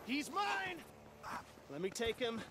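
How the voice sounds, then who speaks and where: An actor playing a desperate, cornered male villain bargaining forcefully in a video game. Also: very high voice, very animated, takes it slowly, very noisy, heavily acted. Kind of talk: video game cutscene acting.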